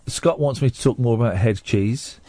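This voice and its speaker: A playful man hosting late-night radio, his voice lively and full of pitch swings. He sits close to a studio mic, so the sound is dry and close. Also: no echo. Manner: playful, teasing. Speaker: radio host. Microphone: studio microphone.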